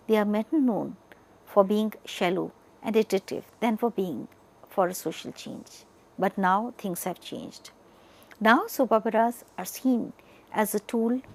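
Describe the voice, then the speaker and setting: Indian-accented woman lecturer, lightly creaky voice. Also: mid-range voice, darkish tone. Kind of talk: educational lecture, partly read from notes.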